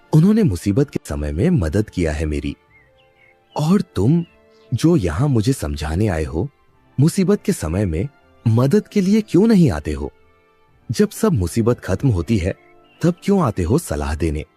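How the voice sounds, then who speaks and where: A man's voice: on the low side, huge pitch swings, unhurried, dark tone, husky, dry recording, soft background music, full character voice. A man playing a poor, earnest village labourer in a cartoon moral story, with a pleading, trusting manner. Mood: indignant.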